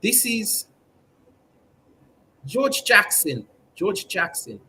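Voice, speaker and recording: A man's voice: high-pitched, big pitch swings, pushed and bright. Guy hamming it up as a fake newsreader, stiff formal delivery, stretches words out, bit of hum in the background. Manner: formal, stilted newsreader diction with drawn-out words. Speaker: mock news presenter on a livestream. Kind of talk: comedic mock newscast.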